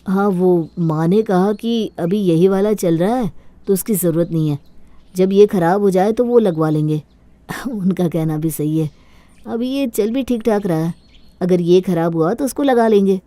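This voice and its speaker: A woman puts on a placid young husband for a cartoon family tale. The voice is soft-edged, dark and on the low side, mild and evasive. The sound is dry. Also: normal speed, no echo, lightly acted. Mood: placating.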